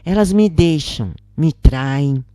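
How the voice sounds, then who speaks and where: A heartbroken, honest man speaks in bewilderment in a story reading, acted out by a woman. The delivery is slow and expressive, with a mellow tone. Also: low-pitched, no echo, clean studio sound. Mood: dejected. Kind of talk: dramatised first-person story reading.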